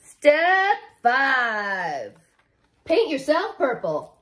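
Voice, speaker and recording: A woman in her thirties reads a picture book to children at an unhurried pace. Her voice sits high and swings widely in pitch. She is expressive and slips into chatty asides aimed right at her young listeners. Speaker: teacher reading aloud. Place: living room at home, indoors.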